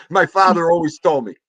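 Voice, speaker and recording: A man's voice: fairly high pitch, fairly bright tone, dry recording. A man hosting a casual livestream chat, greeting viewers and laughing often. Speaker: livestream host.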